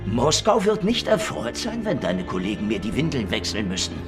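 Sardonic hardboiled detective, swinging pitch. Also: male voice, huge pitch swings, moderate pace, over loud music. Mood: indignant, wary. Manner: sardonic, wisecracking, dry. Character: cynical hardboiled Soviet secret police detective, sardonic and defiant. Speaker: lead actor and first-person narrator. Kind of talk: German hardboiled radio crime drama acting.

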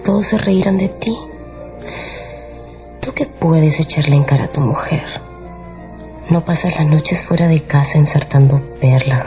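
Slow, creaky, seductive kept woman. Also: deep voice, warm tone. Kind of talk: radio drama acting. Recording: dull radio production with music underneath.

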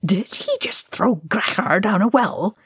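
A woman's voice: sits low, big pitch swings, moderate pace, a bit bright, breathy edge, dry recording, studio quality, heavily acted. A woman voicing an indignant, bookish male dwarf ghost, fussy and scolding, in a storybook reading. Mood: shocked.

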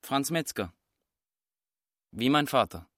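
A man playing an eager, quick-witted nine-year-old boy in a radio play, answering in short, earnest phrases. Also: mid-range voice, expressive. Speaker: neighbour's son. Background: music.

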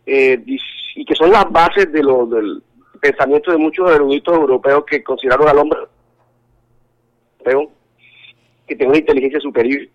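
A man's voice: on the high side, normal speed, pauses often. A Colombian man interviewed by phone, speaking earnestly, often hesitating and repeating words as he searches for them. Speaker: interview guest. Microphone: telephone. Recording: tinny phone line.

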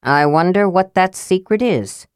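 Stern half-elf leader, male, fantasy storybook, read by a woman. Low voice, troubled, serious. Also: animated, unhurried, darkish tone, smooth, no room sound, studio quality. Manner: serious, questioning.

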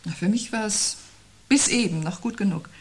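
An actress as a husky, dryly sceptical wife. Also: female voice, mid-range voice, normal speed, natural voice. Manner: dry, pointed, controlled. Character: sceptical, weary wife, dryly resisting her partner's gloom. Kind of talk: 1970s German radio play acting.

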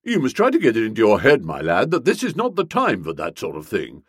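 A man voicing a flustered, pompous young English gentleman writer in an audiobook, wordy and self-justifying, with affectionate upper-class slang. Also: mid-range voice, wildly swooping pitch, measured pace, breathy edge, no echo, clean studio sound, exaggerated voice. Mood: stern, disapproving.

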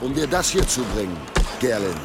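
A grim intruder voiced by a man speaks with menace and contempt in a radio drama. His mid-pitched voice taunts over a musical background. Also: sing-song, a little fast, natural voice. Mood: scornful, menacing. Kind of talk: German audio drama acting. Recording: music behind the voice.

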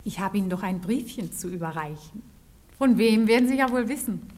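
An actress playing a knowing, conspiratorial maidservant and go-between in a radio comedy. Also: female voice, medium pitch, animated, fairly quick, a little mellow, close to an everyday voice. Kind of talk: classic German radio comedy stage acting.

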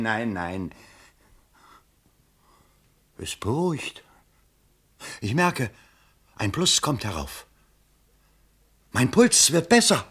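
An actor playing a pompous, agitated bourgeois election candidate in a theatrical German radio play, exclamatory and self-dramatizing. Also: male voice, medium pitch, fairly bright tone. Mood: agitated self-soothing.

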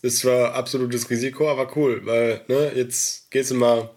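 Dude handing out blunt crude advice to a buddy, super casual, mid voice. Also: male voice, mid-range voice, little pitch movement, moderate pace, darkish tone. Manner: blunt, crude, laid-back. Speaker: friend giving advice. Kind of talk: casual chat.

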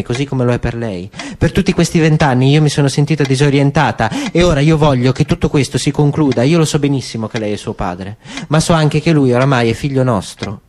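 A man voicing a grave, measured older woman who is an adoptive mother, read slowly for a radio drama. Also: medium pitch, a little mellow, a little hiss or hum, natural voice.

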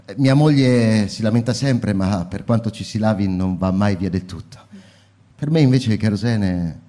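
A man playing a book-burning fireman in a radio drama, narrating intensely and slowly, then chatting with a neighbor. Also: lowish voice, warm tone, natural voice. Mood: friendly. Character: fireman who burns books, middle-aged man. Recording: narration over live music.

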